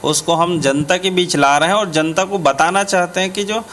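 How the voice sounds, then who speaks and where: A man, a politician, giving an unscripted statement in a halting, hesitant way. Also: high-pitched, fairly bright tone. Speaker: politician, former legislator.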